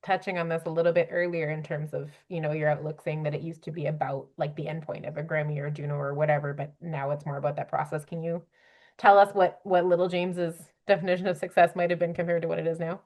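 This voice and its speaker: A woman's voice: low voice, flat delivery, fast, darkish tone. A woman hosting a panel discussion in a conversational, affirming manner. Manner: affirming, rephrasing what guests say. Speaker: panel host, moderator.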